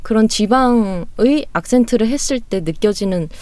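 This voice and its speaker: A woman co-host with a mellow, darker tone who lets her pitch rise and fall lively as she weighs ideas. She speaks reflectively and takes her time. The mic is close and dry. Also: no echo. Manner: reflective, slow and deliberate, often hedging. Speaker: podcast co-host. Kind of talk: casual chat.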